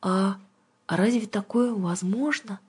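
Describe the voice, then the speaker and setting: Женщина играет робкую, впечатлительную девочку из книги, говорит жалобно и искренне. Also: female voice, expressive, normal speed, slightly rough. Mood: timid hope. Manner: plaintive, earnest, high-strung. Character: timid, emotional young girl pleading for her lost puppy.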